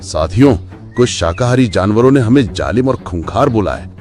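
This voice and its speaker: A man's voice: fairly low pitch, lively intonation, moderate pace, darkish tone, close to an everyday voice. A man voicing a gullible, resentful wolf servant in a cartoon, earnest and dramatic. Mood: indignant. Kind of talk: Hindi cartoon voice acting. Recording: background music behind the voice.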